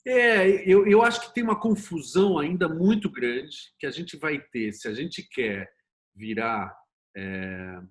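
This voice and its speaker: A Brazilian man speaking as a guest in a casual discussion, emphatic and colloquial. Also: medium pitch. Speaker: interview guest, producer and screenwriter.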